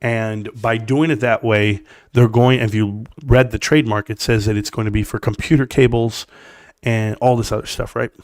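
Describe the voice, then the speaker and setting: A man's voice: fairly low pitch, fairly even intonation, moderate pace, a little mellow, dry recording. A middle-aged man with a scratchy voice, giving a blunt, emphatic, opinionated stock rant to viewers on a livestream. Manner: blunt, emphatic, talks straight to the chat. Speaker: stock market live streamer.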